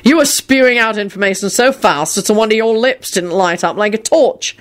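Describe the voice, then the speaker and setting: A woman voicing a stern, sarcastic man with a slow, drawling, cuttingly polite delivery in a story reading. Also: medium pitch, voice pushed up, lightly acted. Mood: bellowing.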